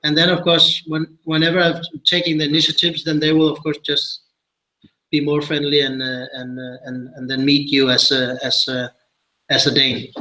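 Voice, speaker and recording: A man in his thirties with a Danish accent and a bright, slightly high voice. He presents casually on a webinar, halting as he searches for words. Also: level tone, a little hiss or hum, poor audio. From Denmark. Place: small office meeting room.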